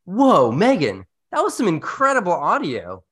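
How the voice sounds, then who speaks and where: Animated podcast host with wide-swinging pitch. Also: normal speed. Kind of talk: scripted, animated podcast presenting.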